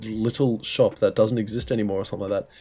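A man's voice: fairly low pitch, steady tone, fast talker, mellow, slightly rough. A man co-hosting a podcast in casual chat, blocked-nosed with a sore throat, rambling with many restarts and swearing. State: congested with a head cold, sore throat. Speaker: podcast co-host.

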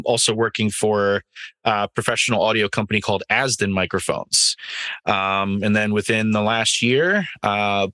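A man answering casually as an interview guest, pausing between short phrases. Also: on the low side, sharp tone. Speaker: podcast guest, sales manager.

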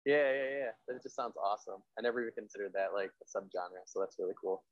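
A man's voice: fairly low pitch, fast, no room sound, muffled recording. A man hosting a casual interview, chatting in an informal, agreeable and rambling way. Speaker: podcast interviewer, host. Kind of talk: casual chat.

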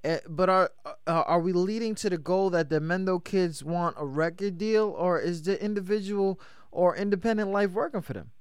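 Brief: male radio host, high clear voice, loose hype delivery, dry close mic. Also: moderate pace, dry recording. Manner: loose, hype, lots of shout-outs and fillers. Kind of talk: casual hip-hop radio interview.

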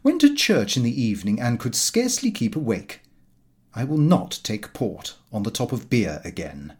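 A man reading a comic first-person diary aloud in an expressive, fussy storytelling manner. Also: medium pitch, normal speed, breathy edge. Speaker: audiobook narrator.

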